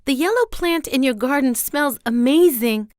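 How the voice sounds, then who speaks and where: A young expectant mother from a children's cartoon, very high in pitch and full of swoops. She speaks slowly and theatrically, craving and pleading, in a dry recording with faint music. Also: lively intonation, a little mellow, no echo. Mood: eager craving. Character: young pregnant mother, eager and demanding. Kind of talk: children's fairy tale cartoon acting.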